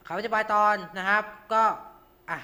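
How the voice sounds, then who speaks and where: A man's voice: sits high, steady tone, normal speed, sharp tone, smooth. A man hosting an informal tutorial, talking through each step with frequent polite particles. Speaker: radio show host.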